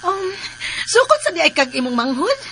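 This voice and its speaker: High-voiced, teasing young Filipina. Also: female voice, sing-song, moderate pace, a bit bright, a little hiss or hum, full character voice. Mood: suspicious. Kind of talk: Filipino radio drama acting.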